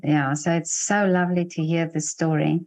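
A woman's voice: deep voice, fairly even intonation, moderate pace. A woman, an Afrikaans speaker, interviewing a guest and responding with encouraging remarks. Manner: warm, encouraging, frequent backchannels. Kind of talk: interview conversation.

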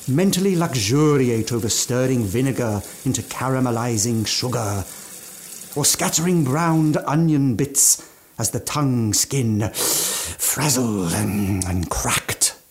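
A man acting a fussy, genteel, slow-savoring old gourmand. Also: medium pitch, natural voice. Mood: wistful relish. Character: eccentric aging gourmand mountaineer, genteel, ghoulishly food-obsessed cannibal. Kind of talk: theatrical radio drama monologue.

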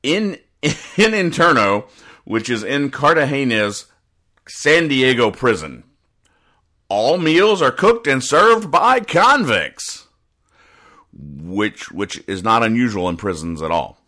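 A man hosting a casual solo podcast, explaining things in a rambling, halting, deadpan way. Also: mid-range voice. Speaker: podcast host. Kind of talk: casual solo commentary, explaining comic strips.

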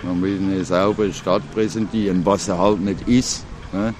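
A man's voice: low voice, steady tone, moderate pace, a little mellow, slight background noise. A man being interviewed outdoors in a city park, musing casually with frequent fillers. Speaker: park visitor.

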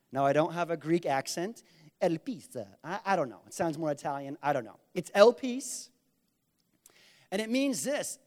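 A man preaching a sermon, repeating key lines for emphasis and swinging between loud bursts and quiet asides. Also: a little high. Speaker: pastor.

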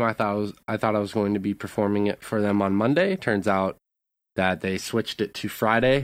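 A man co-hosting a casual podcast, telling jokes deadpan and recounting his week in a laid-back way. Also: lowish voice, no room sound. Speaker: podcast co-host.

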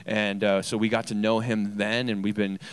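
A male pastor giving an informal church introduction, speaking earnestly and haltingly and repeating phrases. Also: lowish voice, level tone, clean tone, no room sound.

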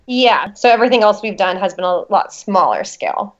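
Young American woman, documentary producer being interviewed; chatty, filler-heavy delivery, mid-pitched, slightly dull recording. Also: animated, fairly quick. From United States. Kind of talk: interview answers. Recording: dull lo-fi sound.

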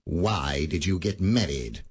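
A miserly old moneylender snaps in a husky, low voice. His pitch swings wide with scorn, and every word comes out clipped. A radio play with a cold, grumbling edge. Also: animated, slow, exaggerated voice. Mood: dismissive. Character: miserly bitter old male moneylender Scrooge.

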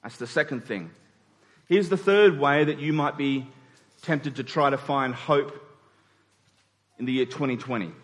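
A man's voice: mid-range voice, a little slow, long pauses, a bit bright. A man in his forties preaching a sermon, speaking rhetorically with emphatic points and dropped asides. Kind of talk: sermon to a congregation. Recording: long hall reverb.

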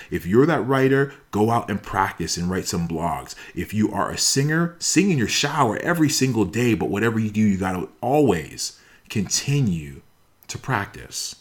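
A man speaking as a motivational speaker, emphatic and repeating key phrases to drive them home. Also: on the low side. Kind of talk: motivational talk.

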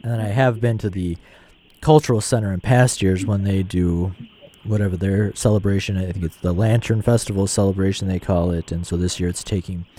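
A man hosting a radio arts programme, asking questions and narrating in a measured way. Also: deep voice, fairly even intonation, mellow. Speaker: radio host.

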